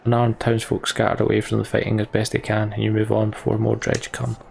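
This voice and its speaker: A man doing let's play commentary and reading game text aloud, thinking out loud in short phrases. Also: lowish voice, little pitch movement, fairly quick, creaky, a little hiss or hum.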